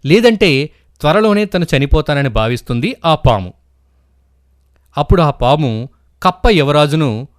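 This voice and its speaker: A man's voice: on the high side, no echo, clean studio sound. A man narrating a scripted moral story for children as a storyteller.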